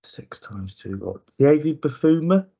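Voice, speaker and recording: A man's voice: a little high, expressive, unhurried, gravelly, dark tone, husky. A man hosting a casual football podcast, upbeat and competitive, thinking aloud while he guesses. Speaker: podcast host. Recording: dull lo-fi podcast audio.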